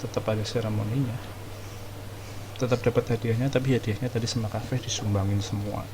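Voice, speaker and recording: A man's voice: on the low side, steady tone, quick pace, background noise. A man giving casual, slangy, amused commentary as he streams a game. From Indonesia. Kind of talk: casual live gameplay commentary. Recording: game music under the voice.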